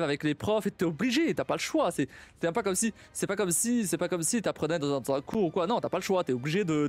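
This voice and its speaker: A young man chatting casually on a live stream, stumbling and repeating himself as he thinks aloud. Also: on the high side. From Portuguese family. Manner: halting, many repeats and restarts, laughs often.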